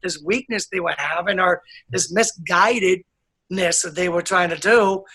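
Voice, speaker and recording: A man speaking as an interview guest, calm and earnest, laying down his points firmly. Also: high-pitched, measured pace, breathy edge. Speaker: guest, author and educator. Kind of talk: casual interview chat.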